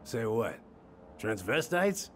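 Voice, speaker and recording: A man's voice: mid-range voice, sing-song, slow pace, a little hiss or hum. An actor playing a middle-aged small-town handyman in a video game, awkward and well-meaning, with a hesitant, folksy way of talking. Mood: sheepish. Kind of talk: naturalistic video game acting.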